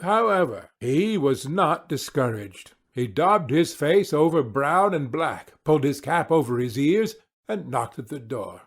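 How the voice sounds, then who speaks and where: A man narrating a storybook audiobook in a measured, theatrical way. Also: a little high, sing-song, slightly rough.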